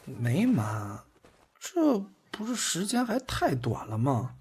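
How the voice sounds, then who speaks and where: A man's voice: on the high side, wildly swooping pitch, takes it slowly, a little mellow, full character voice. A man acting a cheerful, doting young man in a Chinese audio drama, playful and boyish. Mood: flustered.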